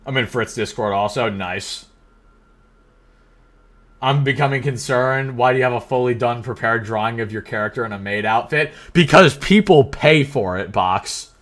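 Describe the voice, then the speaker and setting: This young male streamer talks with a bright, forward tone and a teasing, joking manner. He reads out chat messages and riffs on them in loose banter at his home setup. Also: mid-range voice. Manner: joking, teasing, quick banter with frequent laughs. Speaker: livestream host. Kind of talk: casual live-stream chat, reading out chat messages.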